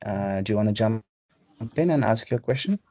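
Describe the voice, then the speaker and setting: A man presenting a webinar, explaining software step by step in an instructional way over a video call. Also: on the low side, expressive, moderate pace, dry recording. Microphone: video call microphone. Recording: dull, lo-fi video call audio.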